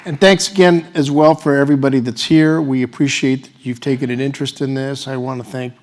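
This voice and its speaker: Halting male panel moderator. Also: medium pitch, flat delivery, noisy background. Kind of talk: semi-formal event introduction, speaking off the cuff.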